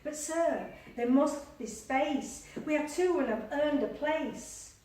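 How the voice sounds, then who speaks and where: A pair of small storybook animals plead earnestly for a place aboard, as a woman voices them. Their voice is pitched high and slow. It sounds distant, with a strong echo all around. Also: darkish tone. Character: pleading platypus and anteater pair. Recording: distant voice in a very echoey room.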